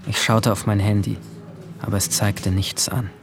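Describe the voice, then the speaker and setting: A man playing a guilt-ridden seventeen-year-old boy, narrating his own story in a measured, introspective way in a radio drama. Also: on the low side, level tone, gravelly. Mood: brooding, sombre. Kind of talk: scripted first-person radio drama narration. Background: music.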